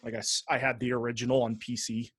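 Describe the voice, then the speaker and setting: A man chats casually as a podcast co-host. His voice sits at a middle pitch and he speaks bluntly, with frequent swearing. The recording is dry and free of echo. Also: level tone, fast talker, a bit bright, slightly rough. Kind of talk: casual chat.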